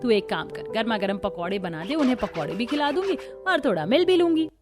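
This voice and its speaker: A woman voicing the family characters of a cartoon story with expressive household dialogue. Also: on the high side, brisk. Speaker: voice actor for several characters. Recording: voice over clear background music.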